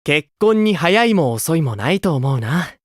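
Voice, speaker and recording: Gentle anime idol, soft kindly manner, slow pace, older-brotherly, romantic, dry recording. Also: male voice, on the high side, sing-song, dry recording. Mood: warm, teasing. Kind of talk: anime game voice acting.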